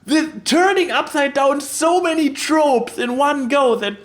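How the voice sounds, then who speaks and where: A man co-hosting a podcast, casually discussing a film in an animated way and acting out bits as he goes. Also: very high-pitched, measured pace. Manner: animated, acts out quotes. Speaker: podcast co-host.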